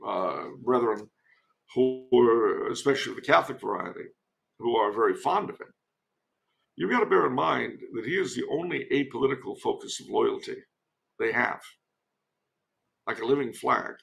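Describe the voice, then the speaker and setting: Male commentator from the US, creaky voice, measured and wry, conversational podcast guest. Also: mid-range voice, lively intonation, a bit bright. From United States. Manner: wry, measured, deliberate phrasing.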